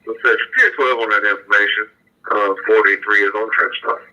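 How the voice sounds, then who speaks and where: Cop guy on scanner radio, piercing bright sound, lowish voice, short clipped calls. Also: fairly even intonation, brisk. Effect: police radio. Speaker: police officer on radio. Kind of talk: clipped police radio traffic. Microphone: two-way radio. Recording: tinny police scanner radio transmission.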